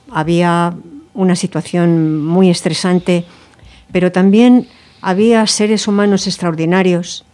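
A woman's voice: fairly low pitch, darkish tone. A woman from Madrid, interviewed about her childhood, telling it fluently and reflectively. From Madrid, Spain. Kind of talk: conversational testimony.

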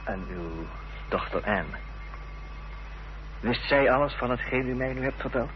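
An actor playing an earnest, probing gentleman in a radio drama, with a grave, measured way of questioning. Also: mid-range voice, lively intonation, lightly acted. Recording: muffled, noisy old radio recording.

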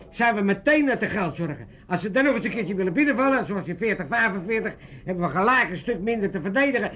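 A man's voice: high voice, a bit bright. An actor playing a blustering, muddled older father and amateur party chairman in a comic radio drama, often repeating himself.

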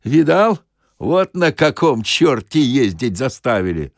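A man acting an exasperated, animated working-class bus driver. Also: medium pitch, slow pace, no room sound, studio quality, heavily acted. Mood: bitter, exasperated. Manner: exasperated, folksy colloquial grumbling. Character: aggrieved, cursing bus driver, sentimental about his old machine.

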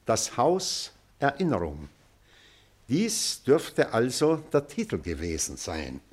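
Elderly male schoolmaster, radio play, pedantic, commanding, wistful, unhurried delivery, widely swinging intonation. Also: mid-range voice, huge pitch swings, a little mellow, full character voice. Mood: pedantic, deliberate. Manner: pedantic, deliberate, declamatory. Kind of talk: German radio play acting, lecturing.

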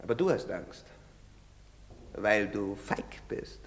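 An actor playing a coarse, bullying soldier who mocks and taunts in a drama. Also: male voice, fairly low pitch, lively intonation, takes it slowly. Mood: menacing. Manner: mocking, swaggering, taunting. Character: coarse bullying male soldier, loud and jeering. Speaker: soldier in a stage play. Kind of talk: radio drama acting. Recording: echoey hall reverb.

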